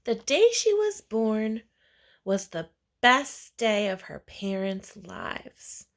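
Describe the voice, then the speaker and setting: A woman reading a children's picture book aloud in an expressive storytelling style, with deliberate, emphasized phrasing. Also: medium pitch. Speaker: read-aloud reader.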